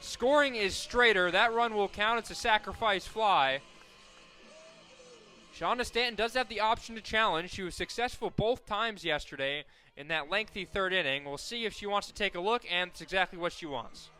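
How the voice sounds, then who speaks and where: An American man calling live softball play-by-play as a radio announcer, conversational and ready to lift with the action. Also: high voice, normal speed, soft background music. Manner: conversational between plays, excited calls on action.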